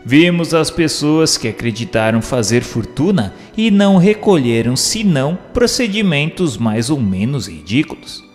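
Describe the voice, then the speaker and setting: A man reading scripted narration in a formal, measured manner, posing questions and commentary like an audiobook narrator. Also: medium pitch, very animated, darkish tone. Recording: long hall reverb. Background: music.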